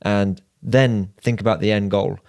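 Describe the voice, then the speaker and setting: A man with a fairly low voice talks through his advice in clear, numbered points, like a co-host steering a casual podcast chat. The sound is dry and close, with no room echo. He keeps things orderly and practical. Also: steady tone, a little slow, darkish tone. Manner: structured, advising, lays out numbered points.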